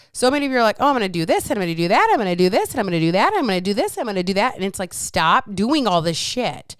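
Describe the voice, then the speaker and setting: A woman's voice: mid-range voice, clean tone, no room sound, clean studio sound. A woman hosting her own podcast as a fitness coach, ranting bluntly with lots of swearing, a little congested with a lingering cough. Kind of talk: casual solo podcast rant.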